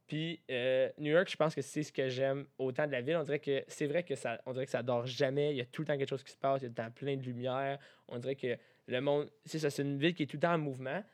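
A man's voice: medium pitch, fairly even intonation, fast, few pauses. A young man with a French Canadian accent co-hosts a podcast in casual chat, mixing English slang into his speech. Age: young adult. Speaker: podcast co-host.

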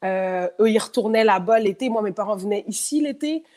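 A woman's voice: mid-range voice, lively intonation, a little fast, a little mellow. A woman in her thirties with a Quebec French accent and a slight France-French tone, talking candidly as a guest in a casual interview, with many fillers and restarts. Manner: candid, lots of fillers and repetitions, frequent laughs.

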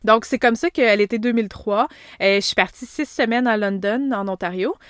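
A woman's voice: a little high, normal speed, no room sound, clean studio sound. A woman in her thirties with a Québécois accent, hosting a casual personal podcast, chatty and rambling with many asides and fillers. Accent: Québécois French. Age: 30s. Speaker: podcast host.

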